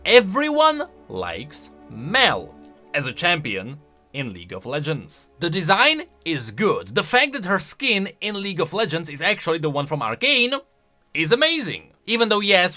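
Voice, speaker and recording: Lively, bright-voiced man commentating on games. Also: on the high side, normal speed, faint music behind. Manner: chatty, rhetorical questions, emphatic swings in delivery. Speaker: video game lore commentator. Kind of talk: casual scripted video commentary.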